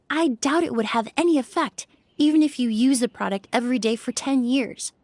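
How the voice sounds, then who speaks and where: A courteous young woman of a fantasy game, speaks in a fairly high voice. She is composed and precise, choosing her words with measured care while music plays behind her. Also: no room sound. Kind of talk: video game voice acting. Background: background music.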